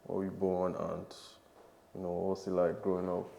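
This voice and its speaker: A man hosting a casual interview with a lowish voice. He talks in a chatty, upbeat way and repeats himself as he works out his questions. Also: monotone, moderate pace, vocal fry, dark tone, highs cut off. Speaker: podcast host.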